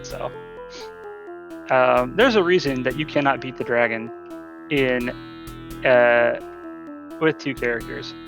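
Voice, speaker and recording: Man doing live gameplay commentary, slightly creaky. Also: medium pitch, takes it slowly, pauses often, music in the background. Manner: informal, talking to the game while playing. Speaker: speedrunner.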